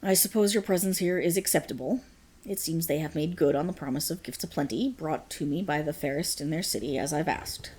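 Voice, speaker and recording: A woman voicing a proud, possessive dragon queen in a dramatic ASMR roleplay, with regal, commanding, formal diction and a breathy, husky, creaky voice. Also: sits low, fairly quick, darkish tone, a little hiss or hum, close to an everyday voice. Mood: cool, haughty.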